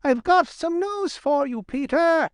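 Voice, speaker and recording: A man voicing an excitable, round male woodchuck in a storybook, eagerly calling out in a squealing voice. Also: slow, creaky, dry recording, clean studio sound, full character voice. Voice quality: squealing, high-pitched.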